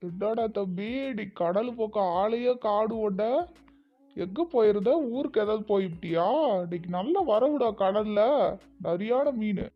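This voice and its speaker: A man voicing a poor, hardworking fisherman father in an animated story, in earthy speech. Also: high voice, measured pace, lo-fi sound, soft background music. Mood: friendly. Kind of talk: cartoon dialogue in colloquial rural speech.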